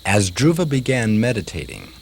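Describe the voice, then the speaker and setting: A man's voice: on the low side, wildly swooping pitch, moderate pace, gravelly, husky. A man narrating a scripted story for a puppet show in a storyteller's style.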